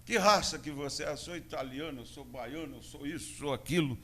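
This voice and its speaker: A Brazilian man, a pastor preaching a sermon in a church with a São Paulo interior accent, moving between loud proclamation and quiet asides. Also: fairly high pitch, fairly quick, breathy edge. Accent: Brazilian Portuguese, São Paulo interior.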